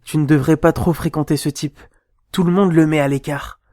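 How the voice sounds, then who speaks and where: A man's voice: on the high side, steady tone, moderate pace, warm tone, lightly acted. A man playing a boastful, chatty dormitory chief with a casual, self-satisfied manner in an anime fan dub. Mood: informative.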